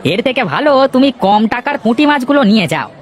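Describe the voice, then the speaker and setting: Man voicing a blunt, high-pitched village fishmonger. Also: sing-song, brisk, a faint crowd, slight background noise. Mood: firm, dismissive. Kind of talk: Bengali cartoon voice acting.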